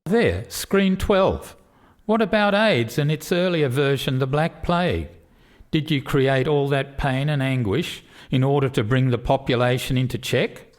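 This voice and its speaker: A probing, disapproving alien committee man, acted by a man in a radio play. His medium-pitched voice puts questions formally and pointedly. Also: measured pace, lightly acted.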